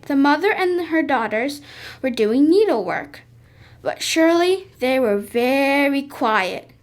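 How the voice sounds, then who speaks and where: Child narrator for a school radio play, high and slow, faint music bed. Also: female voice, expressive. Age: child voice, third grader.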